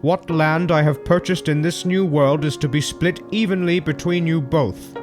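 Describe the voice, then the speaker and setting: A man voicing a dying old father who scorns his two quarrelling sons, speaking slowly with contempt. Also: on the high side, lightly acted. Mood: contemptuous. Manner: slow, contemptuous pronouncement. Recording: voice over loud background music. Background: music.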